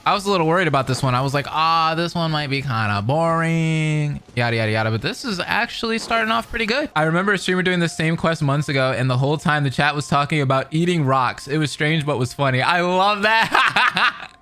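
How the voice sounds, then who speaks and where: A man's voice: on the high side, animated, a little fast, hardly any pauses, pushed and bright. A man casually reacting to a game story, chatty and joking between scenes. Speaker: reaction video host. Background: game music.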